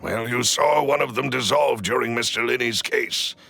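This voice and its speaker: An actor playing a grave, loyal butler in a video game, speaking formally and deferentially with a gravelly edge. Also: male voice, mid-range voice, sharp tone, faint music behind, full character voice. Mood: cautious, serious. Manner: formal, deferential, measured explaining. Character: loyal, grave male butler and shrewd confidant. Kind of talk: dramatic video game cutscene acting.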